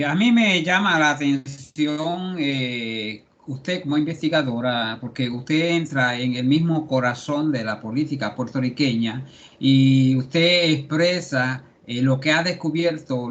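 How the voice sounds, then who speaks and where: Slow, halting man interviewer, dull sound. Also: medium pitch. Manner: hesitant, halting phrasing. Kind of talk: interview questioning.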